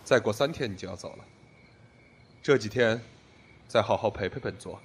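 An actor playing a cold, terse, domineering sect lord in a Chinese period audio drama. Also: male voice, fairly low pitch, moderate pace, slight background noise, lightly acted. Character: cold, commanding male sect lord of ancient China.

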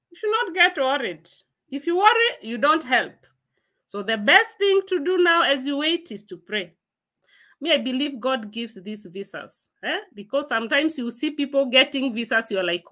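A woman in her forties hosts a laid-back livestream from home, reading viewer comments aloud and replying between bursts of laughter. Her voice is high and bright with a forward ring, and it is picked up by a laptop mic at arm's length. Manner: chatty, laughs often, reads comments aloud and answers them. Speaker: livestream host. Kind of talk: casual livestream chat answering viewer comments. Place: room at home, indoors.

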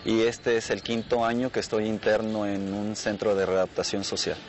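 A man's voice: lowish voice, level tone, normal speed, slight background noise. A man in his twenties, an inmate, answering interview questions plainly and reflectively on a lapel mic outdoors. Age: 20s.

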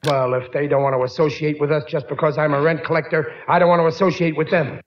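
On a muffled, hissy old radio recording, a man plays an indignant family man from a 1940s comedy. He talks fast in a raised pitch. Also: little pitch movement, lightly acted. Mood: defiant, huffy. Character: indignant family man turned rent collector.

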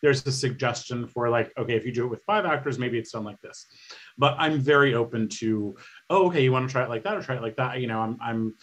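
A middle-aged man talks casually as a playwright guest on an online panel. His voice sits at a medium pitch, and he rambles along in an easygoing, conversational way. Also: fast, a bit bright. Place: indoors, on a video call. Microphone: video call microphone.

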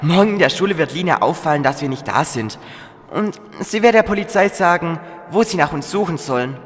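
Man playing lively, determined boy leader. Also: on the high side, a little fast, natural voice. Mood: reassuring. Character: determined, reassuring boy leader of young adventurers. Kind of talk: children's audio drama acting. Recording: reverberant dungeon effect, faint music.

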